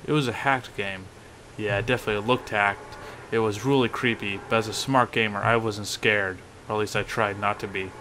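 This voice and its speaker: A man reading a first-person horror story as narrator, with expressive, emphatic storytelling. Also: lowish voice, slight background noise, poor audio. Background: music under the narration.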